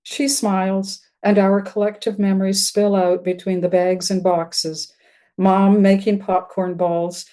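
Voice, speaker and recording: An older woman reading her own literary piece in measured, plain-spoken narration. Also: medium pitch, warm tone. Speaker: writer reading own work. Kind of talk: literary prose-poem reading.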